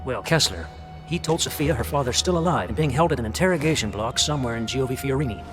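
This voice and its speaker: An actor playing a businesslike military intelligence officer in a war game, briefing in a matter-of-fact, conspiratorial way. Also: male voice, mid-range voice, lively intonation, quick pace, over music, natural voice. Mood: shrewd, businesslike. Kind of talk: cinematic war-game dialogue.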